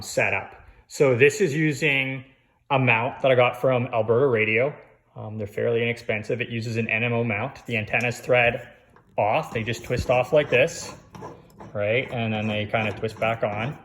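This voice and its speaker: A man's voice: mid-range voice, a bit bright. A man giving a casual, hands-on how-to walkthrough as a vlogger, in an informal way with conversational asides.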